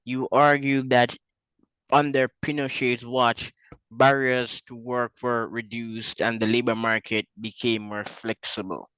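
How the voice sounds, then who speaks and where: A male interviewer asking direct questions and reading passages aloud. His voice is smooth and resonant, recorded close and dry with no room sound. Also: mid-range voice, flat delivery, unhurried, fairly bright tone, dry recording, low-quality recording. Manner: reads quotes, asks direct questions. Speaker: interviewer host.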